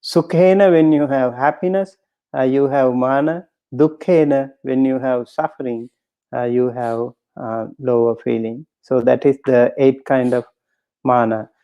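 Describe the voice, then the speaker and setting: A man, a Buddhist monk, giving a dharma talk in a halting way with many pauses and fillers, reciting Pali terms and then explaining each one. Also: medium pitch, steady tone, clean tone. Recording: dull lo-fi recording.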